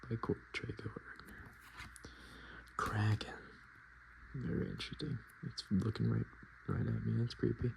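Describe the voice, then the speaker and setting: A man hosting softspoken, whispered ASMR in a murmured, unhurried, casual and self-deprecating way. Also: medium pitch, steady tone, gravelly, rough recording. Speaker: ASMR video host.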